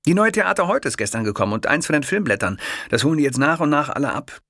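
A man voicing a chatty, pushy middle-aged male kiosk owner who talks fast, eagerly and stumbles over his words in a storybook reading. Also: fairly high pitch, big pitch swings, a bit bright, dry recording, studio quality, pitched above the performer's own voice.

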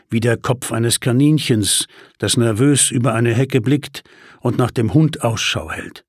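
A man narrating a first-person crime story as an audiobook, measured and tense with dry humor. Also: medium pitch, husky, no room sound.

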